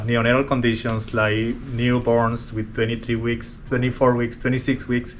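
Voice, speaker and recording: A man's voice: medium pitch, slight background noise. A man from Colombia with a strong Colombian accent, a respiratory therapist talking about his job in a casual, hesitant way with many fillers. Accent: Colombian Spanish. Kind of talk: casual workplace interview.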